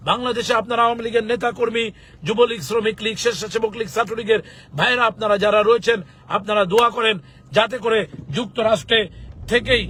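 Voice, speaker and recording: High-pitched Bangladeshi man over loud street noise. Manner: emphatic, halting short phrases. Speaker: political activist and legal expert. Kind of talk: political address to followers. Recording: loud outdoor urban traffic noise.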